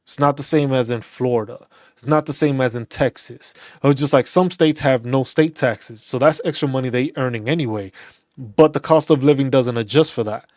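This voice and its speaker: A man, a veterans' channel host, speaking in a casual, animated rant, often restarting and repeating phrases. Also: mid-range voice, moderate pace, no room sound, clean studio sound. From New York City. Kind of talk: casual opinion talk.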